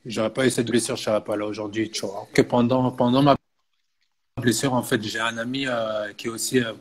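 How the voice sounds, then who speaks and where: A man's voice: medium pitch, fairly quick. Belgian man in his thirties, chatting easily. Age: 30s. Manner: relaxed, frequent filler "tu vois" and restarts. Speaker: personal fitness coach. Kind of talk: casual interview talk.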